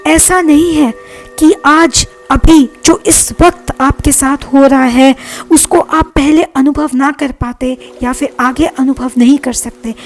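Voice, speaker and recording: A woman leading a guided meditation as a life coach, speaking in a soothing, deliberate, instructing way. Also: high voice. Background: meditation music.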